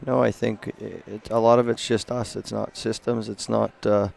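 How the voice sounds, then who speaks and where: A man in his thirties, a hockey coach giving a halting postgame interview at an indoor rink on a headset mic. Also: on the low side. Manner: flat, gravelly, halting with many restarts.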